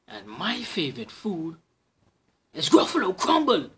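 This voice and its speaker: Woman doing a squeaky boastful little mouse. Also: sing-song, slow pace, a bit bright. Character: tiny clever boastful male mouse.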